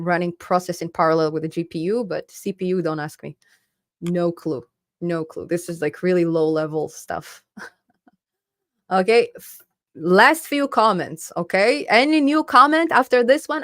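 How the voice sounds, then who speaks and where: A woman's voice: medium pitch, no echo, clean studio sound. A young woman in her twenties hosting a live stream, chatting casually and upbeat as she reads out viewer comments, laughing often.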